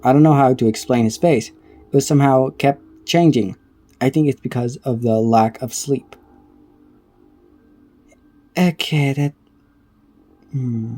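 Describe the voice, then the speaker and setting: A man giving casual reaction commentary, reading aloud haltingly and stumbling over words between offhand remarks. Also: medium pitch, mellow, soft background music. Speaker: reaction video creator.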